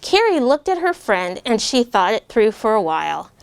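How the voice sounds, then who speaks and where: A middle-aged woman reading a rhyming children's book aloud to a class of schoolchildren, pausing to ask the kids questions. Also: on the high side, gravelly, slight background noise. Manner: expressive rhyming read-aloud with asides to kids. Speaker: guest reader and parent.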